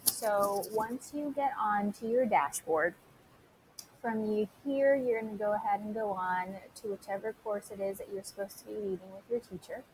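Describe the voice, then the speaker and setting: A woman teacher giving an informal software tutorial, talking listeners through it step by step and addressing them directly. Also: medium pitch, normal speed, slight background noise, poor audio. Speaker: teacher recording a tutorial for students.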